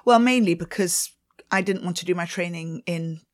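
A middle-aged woman with a Swedish accent answers questions about her work as a ballet teacher. Her voice sits a little low, and she talks in a chatty way, laughing often. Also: normal speed. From Sweden. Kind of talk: interview answers.

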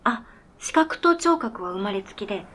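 A woman's voice: mid-range voice, very animated, moderate pace, fairly bright tone. A woman playing an earnest, polite talking snowmobile in a radio drama, speaking formally and matter-of-factly.

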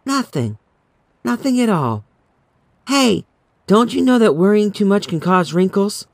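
An uneasy, weary mom in a horror audiobook, voiced by a man. The voice is lifted higher and sits close in a dry recording. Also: big pitch swings, unhurried, creaky, no echo, heavily acted. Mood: uneasy, soothing. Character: uneasy, weary suburban mother in a horror story. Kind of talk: audiobook character voice.

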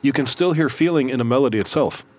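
A man co-hosting a podcast, asking a guest chatty questions in a relaxed studio conversation. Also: medium pitch, quick pace. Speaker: podcast co-host. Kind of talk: casual interview chat.

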